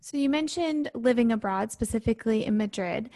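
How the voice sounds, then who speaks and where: A woman's voice: on the high side, expressive, fairly quick, warm tone. A woman from Michigan hosting a university podcast, reading a prepared intro and then interviewing a guest in an upbeat, earnest way. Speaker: podcast host.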